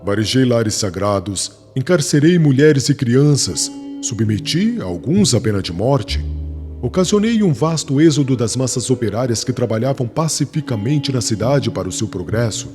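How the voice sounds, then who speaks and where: An actor with a Brazilian accent playing a fervent young former rabbi who preaches in a solemn, formal, declamatory way for a dramatized audiobook. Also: male voice, mid-range voice, expressive, moderate pace, lightly acted. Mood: remorseful, fervent. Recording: music bed under the voice.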